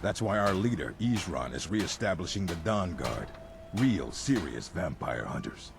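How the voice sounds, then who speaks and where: Deep, gravelly vampire hunter. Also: male voice, very animated, measured pace, background noise, full character voice. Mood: scornful, grim. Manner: blunt, grim, scornful. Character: stern, zealous male vampire hunter recruiting fighters. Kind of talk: fantasy video game dialogue. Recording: game music behind the voice. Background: game music.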